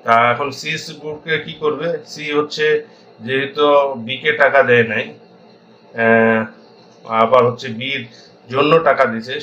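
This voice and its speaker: Bright-voiced Bangladeshi man, halting tutor. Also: medium pitch. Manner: halting, thinking aloud, mixing in English accounting terms. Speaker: accounting instructor. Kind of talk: informal tutorial explanation.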